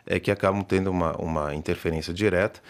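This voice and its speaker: A literature professor answering radio interview questions in a measured way, with many hesitations and restarts. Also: male voice, low-pitched, steady tone. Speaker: literature professor and festival organizer.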